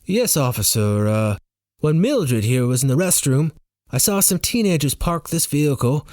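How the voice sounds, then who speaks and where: A man's voice: a little high, very animated, moderate pace, a little mellow, no room sound, studio quality, pitched above the performer's own voice. A man playing a fellow who bluffs politely in a muddled, fake mix of foreign accents, in audiobook dialogue. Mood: polite bluffing. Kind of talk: first-person audiobook dialogue.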